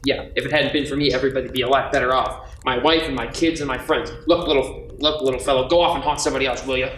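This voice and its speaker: A man's voice: medium pitch, steady tone, fast talker, fairly bright tone, slight background noise, natural voice. Guy playing a slangy grumbling family man, radio play, off the mic in echoey room. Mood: despairing, irritable. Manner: folksy, grumbling, hesitant. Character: despairing, irritable small-town family man, slangy and sarcastic. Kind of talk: amateur audio-only radio play. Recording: echoey, distant mic with music behind.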